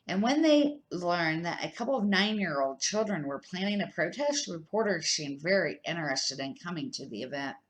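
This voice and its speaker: A woman's voice: on the low side, lively intonation, moderate pace, hardly any pauses, low-quality recording. An older woman reading a children's storybook aloud as a grandmother would, an amateur who now and then stumbles and corrects herself.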